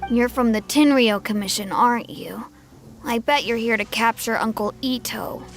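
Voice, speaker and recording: A woman voicing an earnest, proud little village boy with a high boyish voice in a video game. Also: moderate pace, lightly acted. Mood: worried, defiant. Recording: game music behind.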